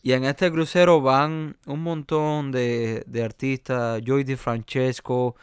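Smooth-voiced Cuban pianist, halting and chatty. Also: male voice, mid-range voice, little pitch movement, no room sound, clean studio sound. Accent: Cuban Spanish. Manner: hesitant, many fillers and restarts. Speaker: jazz pianist guest. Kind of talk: conversational interview.

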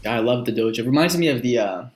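A man from Hawaii speaks at a middling pitch as a guest in a casual conversation. He is easygoing and playful, explaining ideas in an informal way. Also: lively intonation, fast. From Hawaii, USA. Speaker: interview guest.

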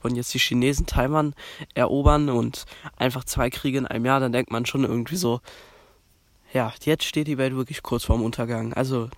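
A young podcast host from Germany talks on his own in a loose, rambling way, full of fillers and restarts. He has a cold, and his voice sits at a middling pitch. The recording is dry and close, with no room sound. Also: no room sound. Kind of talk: casual monologue.

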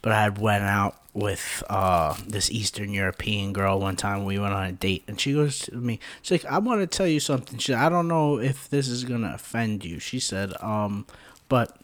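A man's voice: on the low side, lively intonation, moderate pace. A man talking as a podcast co-host in a casual, unpolished way. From New York, United States. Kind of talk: casual, profane podcast chat.

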